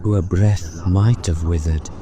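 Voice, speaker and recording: A man voicing a solemn spirit who speaks in a quiet, measured way. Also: low voice, steady tone, crowd noise. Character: solemn spirit, calm and sparing of words.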